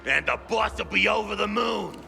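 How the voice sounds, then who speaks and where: High, gravelly renegade goon. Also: male voice, expressive, normal speed, pushed and bright, shouting, heavily acted. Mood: smug, hostile. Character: smug, crude male renegade goon. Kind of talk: video game villain acting. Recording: long reverb, music behind.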